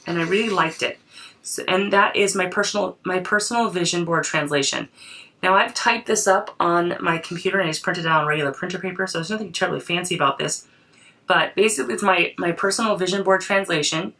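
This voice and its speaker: A woman's voice: fairly low pitch, pushed and bright, distant mic, poor audio. Woman with a cold, chatting while crafting. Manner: chatty, thinks aloud, frequent restarts. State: voice affected by a cold. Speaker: creativity coach. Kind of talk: casual crafting vlog.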